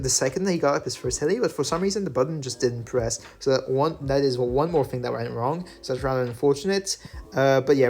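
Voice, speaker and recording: A man whose first language is French, talking through his game replays in a relaxed voice-over full of fillers and self-corrections. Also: mid-range voice. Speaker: gaming channel host. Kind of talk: gameplay commentary voice-over. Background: faint background music.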